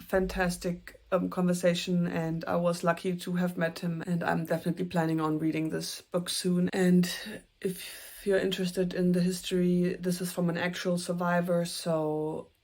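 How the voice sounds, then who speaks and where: Young German woman, casual book vlogger, low-ish voice, earnest and chatty. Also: little pitch movement, normal speed. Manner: chatty and earnest, with soft self-conscious laughs. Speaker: booktuber. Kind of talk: casual book talk to camera.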